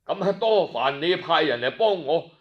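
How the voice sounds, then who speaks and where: A man's voice: a little high, expressive, normal speed, highs cut off, close to an everyday voice. A man voicing a hot-tempered Cantonese nobleman in an audio storybook, blunt, indignant and demanding, enraged at his sons. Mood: vengeful fury.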